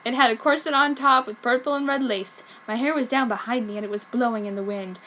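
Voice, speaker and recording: A woman reads a story aloud in a dramatic style. Her voice is high-pitched and bright. There is faint noise in the recording. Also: quick pace. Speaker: fan fiction reader.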